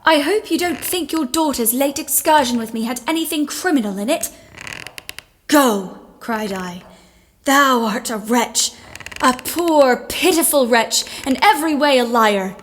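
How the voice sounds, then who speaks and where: A woman's voice: high-pitched, expressive, unhurried, a little hiss or hum. A woman playing a bookish, outspoken fifteen-year-old girl in a period audio play, frank and literate. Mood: dramatic reading.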